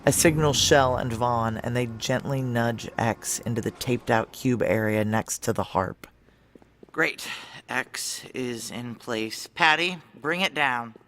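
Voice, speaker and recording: An actor playing a tense, deferential security chief in an audio drama, narrating in a clipped, wry American voice. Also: male voice, medium pitch, a little slow, a bit bright, slight background noise, natural voice. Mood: urgent, exasperated. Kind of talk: audio drama acting with first-person present-tense narration.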